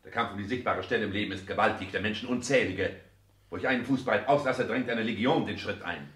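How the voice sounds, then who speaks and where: Actor playing a domineering son, deep-voiced, roomy sound. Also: male voice, quick pace, a bit bright, natural voice. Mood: impatient, commanding. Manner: declamatory, commanding, clipped. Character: driven, domineering adult son and social climber. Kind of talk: German radio play acting. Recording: roomy 1960s radio studio sound.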